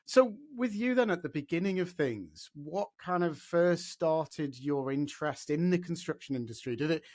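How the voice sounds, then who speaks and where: A man hosting a podcast, asking measured questions and encouraging his guest. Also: fairly high pitch, expressive, darkish tone. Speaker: podcast host. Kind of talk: podcast interview.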